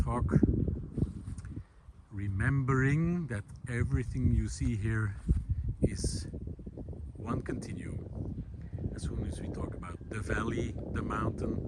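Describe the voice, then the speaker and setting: A man talking reflectively to the camera as he walks outdoors on a mountain forest path, pausing often with fillers and restarts. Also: fairly low pitch, very noisy. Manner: thoughtful, hesitant, many fillers and restarts. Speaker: vlog host. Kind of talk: reflective walking monologue.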